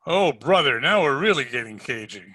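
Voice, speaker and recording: A man's voice: medium pitch, expressive, normal speed, bright, forceful tone, no echo. A man playing a wisecracking, sardonic private detective in an old-time radio drama, slangy and needling. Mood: pressing, sardonic. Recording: home recording over video call.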